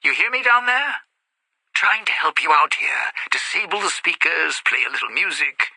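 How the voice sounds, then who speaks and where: An actor playing a smug, suspicious old lounge singer and thief in a video game, with a raspy, gravelly voice and a sardonic showman's delivery. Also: fairly high pitch, animated, moderate pace, sharp tone, dry recording, heavily acted, poor audio. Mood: loud, pleased. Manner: sardonic, slangy showman's patter. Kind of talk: in-game character dialogue and epilogue narration.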